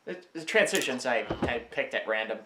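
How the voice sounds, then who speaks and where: A man giving casual, playful, rambling commentary on his own parody series, excitable and off the cuff. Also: mid-range voice, a little fast, background noise. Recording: roomy sound over episode audio, music. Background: episode audio with music.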